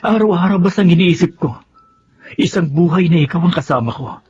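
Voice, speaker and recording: A man's voice: high-pitched, lively intonation, fairly quick, a little mellow, husky, lo-fi sound, soft background music, exaggerated voice. An actor with a Filipino accent playing an earnest, lovesick young man in a radio drama. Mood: ardent, pleading. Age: young adult. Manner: earnest, pleading. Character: lovesick, pleading young man, dutiful son in love.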